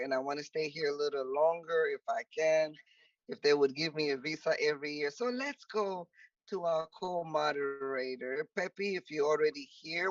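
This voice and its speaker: A woman's voice: low voice, no echo. A woman from the Democratic Republic of Congo, a French native speaker, hosting an online panel in an upbeat, chatty way with many fillers. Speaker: host. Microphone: video call. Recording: muffled distant video call audio.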